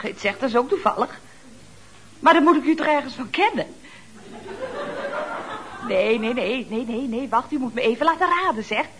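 A woman playing a chatty, ironic secretary at a building firm in a Dutch radio comedy serial, speaking with arch, mock-polite expressiveness. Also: high voice. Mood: polite, puzzled. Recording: noisy old radio recording.

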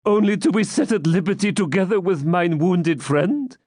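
A man's voice: high voice, expressive, moderate pace, a little mellow, no room sound, studio quality, pitched above the performer's own voice. A man voicing a fearful old moneylender who pleads timidly for his freedom. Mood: pleading.

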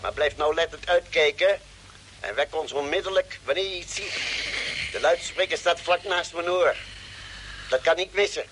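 An actor playing a brisk, dutiful doctor calling his crewmates in a space radio drama. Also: a little high, lightly acted. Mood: calm, businesslike. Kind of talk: 1950s radio drama acting. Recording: old radio recording, faint hiss.

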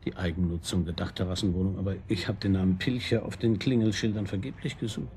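An actor playing a wry, probing police detective in a radio drama, with a husky voice and a dry, colloquial manner. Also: male voice, low voice, fairly even intonation, fast talker, mellow, slight background noise. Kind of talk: naturalistic German radio drama acting.

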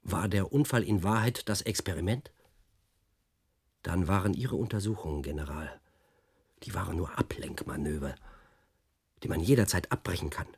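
A suspicious, agitated man in a radio play. His deep voice is slightly rough. He probes and repeats himself insistently. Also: moderate pace, pauses often, a little mellow, exaggerated voice. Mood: probing, insistent. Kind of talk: German radio play acting.

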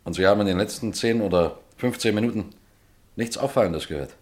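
A man playing a composed colonel who narrates and acts in a radio mystery, telling it in a measured way. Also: on the low side, lightly acted. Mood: brisk questioning. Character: composed male colonel narrating his own mystery case.

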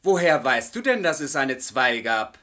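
A man's voice: medium pitch, sing-song, unhurried, bright, forceful tone. An actor playing a restless, irritable young male student in a German radio play, brusque and moody. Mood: irritable, evasive.

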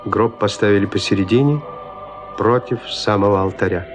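A man narrating classic literature in an expressive, deliberate, theatrical manner. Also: lowish voice. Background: music.

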